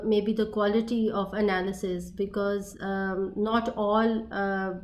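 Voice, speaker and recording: A woman speaking as a panelist in an online meeting, hesitant and full of fillers. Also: mid-range voice.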